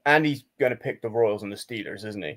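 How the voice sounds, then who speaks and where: A man's voice: on the low side, fast talker, fairly bright tone. Male co-host, sure of his picks, plain delivery, ribs his partner now and then. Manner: confident, matter-of-fact, teasing. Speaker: podcast co-host. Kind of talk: casual sports picks chat.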